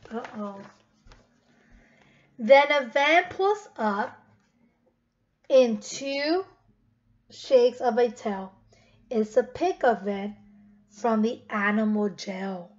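A woman's voice: on the high side, very slow, pauses often. A woman in her thirties reading aloud to young children as their teacher, repeating questions to them on a webcam at home. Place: living room at home, indoors. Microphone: webcam at about arm's length. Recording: dull webcam sound with clear noise.

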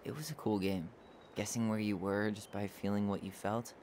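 A man's voice: fairly low pitch, fairly even intonation, normal speed, a little mellow, slight background noise, lightly acted. An actor playing a blunt, wry, guarded young man with an American accent in video game dialogue, talking casually. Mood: soft, reflective. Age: young adult.

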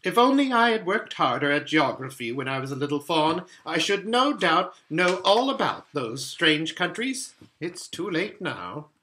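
A man's voice: on the high side, very animated, unhurried, pushed and bright, voice pushed up, heavily acted. A man voicing a courteous, flustered faun in a children's storybook, fussy and polite, often stammering and repeating himself. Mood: melancholy. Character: courteous, flustered young-hearted male faun, eager to please.

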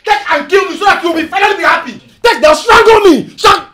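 An actor playing an angry, defensive husband and father who shouts and stammers through a quarrel with his wife. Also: sits high, sing-song, moderate pace, heavily acted. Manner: shouting, exasperated, repeating himself. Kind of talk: acted domestic drama.

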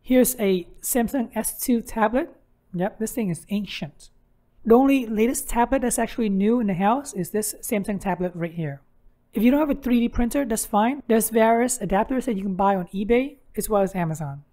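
A woman's voice: a little high, moderate pace, warm tone. A woman hosting a how-to tutorial, narrating instructions step by step. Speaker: tutorial host.

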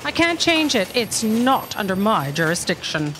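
A very noisy live stage recording of a woman acting an imperious female boss. Her voice is mid-pitched, her manner brisk, wordy and dismissive. The style is comic audio drama. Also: very animated, close to an everyday voice. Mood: brisk, irritated. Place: theatre stage. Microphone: microphone on a stand.

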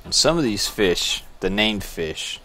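A man casually talking through a game as he plays, relaxed and musing, thinking aloud with small restarts. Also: fairly low pitch, a little slow. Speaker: gameplay video host. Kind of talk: casual gameplay commentary.

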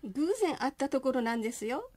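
Woman doing a chatty lady boss in a radio skit, high mellow voice. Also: lively intonation, a little fast. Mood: cheerful. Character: cheerful chatty female company president. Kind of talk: comic radio drama acting.

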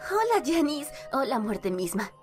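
A woman's voice: high-pitched, big pitch swings, takes it slowly, soft background music, exaggerated voice. A woman voicing a playful, hungry, girlish spider monster in a cartoon dub, with Latin American Spanish. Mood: surprised.

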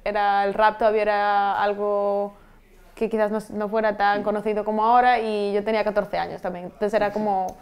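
A woman's voice: mid-range voice, brisk. Woman, 30s, laid back studio interview answers, trails off a lot. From Vigo, Galicia, Spain. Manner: relaxed, many restarts and trailing-off endings. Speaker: guest rapper and software engineer. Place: TV studio set. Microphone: lapel.

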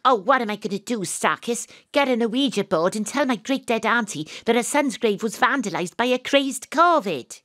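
Excitable young Welsh female sleuth, audio drama, breathless suspense, close dry studio sound. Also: fairly high pitch, moderate pace, few pauses, no room sound. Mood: agitated, earnest. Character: Astrid, excitable young Welsh woman investigator, narrating. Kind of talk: audio drama narration and dialogue.

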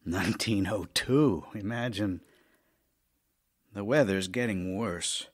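Slow, wistful, ailing writer husband. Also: male voice, lowish voice, husky. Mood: wry, longing. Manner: wry, gently chiding, wistful. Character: ailing, lonely, wry middle-aged writer husband, tender, longing. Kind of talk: audio drama acting, reading love letters.

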